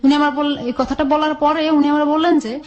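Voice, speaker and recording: A woman's voice: high voice, fairly even intonation, a little fast, darkish tone, dull audio. A woman with a Bangladeshi accent telling her own life story in an interview, colloquially, often recounting conversations as dialogue. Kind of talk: candid personal storytelling in an interview.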